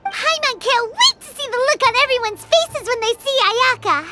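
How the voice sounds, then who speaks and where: A woman voicing a tiny, excitable childlike fairy companion in a video game, with a squeaky voice. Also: moderate pace, soft background music, full character voice. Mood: excited, cheerful. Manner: excitable, exclamatory.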